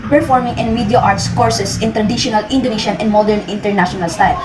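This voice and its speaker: Woman reading a report in an echoey room. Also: on the high side. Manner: reads carefully from a script, occasional slips and restarts. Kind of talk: read-aloud class report. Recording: roomy echo with faint background noise.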